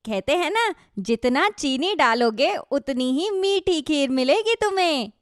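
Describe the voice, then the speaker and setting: Scornful cartoon village gossip, acted by a woman: high voice, scolding, nosy, dry recording. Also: lively intonation, a little slow, dry recording. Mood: mocking. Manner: scolding, exclamatory.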